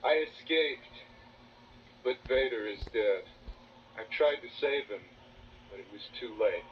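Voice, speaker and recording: An actor playing an earnest young rebel hero in a children's read-along adventure, speaking solemnly. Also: male voice, medium pitch, animated, a little slow, lightly acted. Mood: regretful. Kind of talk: children's read-along audio drama acting. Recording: muffled distorted toy cassette, faint noise.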